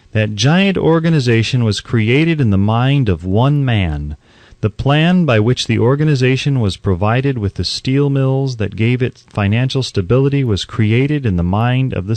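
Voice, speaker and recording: A man reading an audiobook in a measured, articulate storytelling delivery, close on the microphone. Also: mid-range voice, a little mellow, dry recording. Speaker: audiobook narrator. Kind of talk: scripted audiobook narration.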